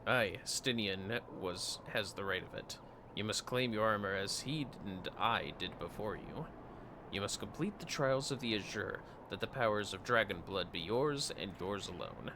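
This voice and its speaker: A man voicing a solemn retired knight mentor from a fantasy game, reading in a formal, archaic, measured way. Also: on the low side, lively intonation, noisy background. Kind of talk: reading game dialogue aloud in character. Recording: game music behind the voice.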